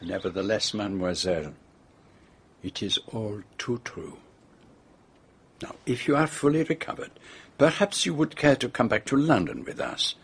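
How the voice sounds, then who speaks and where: An actor playing a shrewd, formal foreign detective with a French-speaking European accent in a radio drama. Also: male voice, lowish voice, sing-song, moderate pace, long pauses, breathy edge, heavily acted. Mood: grave, courteous. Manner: formal, precise, courteous. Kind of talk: radio drama acting, first-person narration.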